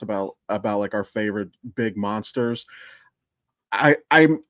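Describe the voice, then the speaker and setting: A man with a medium-pitched voice chats as a podcast co-host. He talks in a loose, informal fan-discussion style and swears freely. The sound is dry, with very little room in it. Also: no room sound. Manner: informal, profane.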